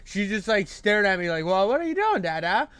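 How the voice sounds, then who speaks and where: Dude just rambling casually, lots of swearing and slang, kinda high voice. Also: male voice, very animated, fairly quick, fairly bright tone, smooth, dry recording. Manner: slangy, sweary, laid-back bro talk. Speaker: commentator. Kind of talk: unscripted casual chat to viewers.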